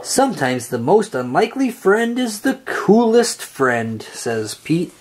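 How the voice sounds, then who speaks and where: This is someone reading a picture book aloud for children. The voice is husky and moves up and down in a lively, sing-song way. The delivery is slow, with phrases stretched out for young listeners. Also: mid-range voice. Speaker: storybook reader.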